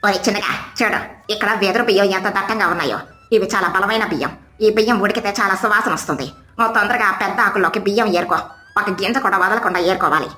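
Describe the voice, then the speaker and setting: A take-charge cartoon mother bird, acted by a woman, speaking fast in a bright, forceful tone. She is confident and enthusiastic, in an echoey track over faint music. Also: medium pitch, natural voice. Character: responsible, energetic mother bird, village provider.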